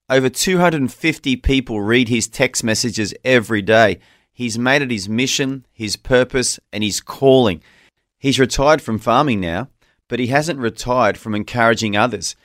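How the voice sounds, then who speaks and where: A man's voice: medium pitch, fairly bright tone, dry recording. An Australian man hosts a radio interview in a mid-range voice. He sounds conversational and affirming, summing up and prompting as he goes. The recording is dry, with very little room sound.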